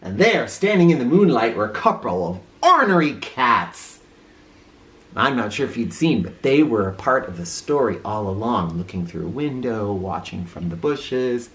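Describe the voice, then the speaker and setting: Low-voiced, very animated woman storyteller. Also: moderate pace. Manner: theatrical, with informal asides to listeners. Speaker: read-aloud storyteller. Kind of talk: expressive children's story narration.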